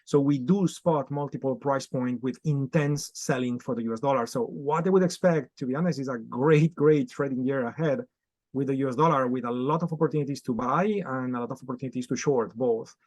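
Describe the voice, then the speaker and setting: A man's voice: mid-range voice, brisk, a little mellow, no room sound. A man on a video call, explaining markets as a guest in a podcast interview, with many fillers and restarts. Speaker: guest trader.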